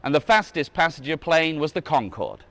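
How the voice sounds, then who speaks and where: A man's voice: fairly high pitch, big pitch swings, moderate pace, fairly bright tone. A man reading a children's book aloud as a museum guide, adding casual asides and now and then correcting his slips. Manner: stumbles over words and corrects himself.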